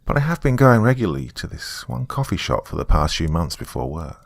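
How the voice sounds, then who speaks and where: A man's voice: deep voice, very animated, brisk, creaky, no echo. A man who narrates horror stories and hosts, speaking as a storyteller. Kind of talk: dramatic first-person story narration and casual sign-off.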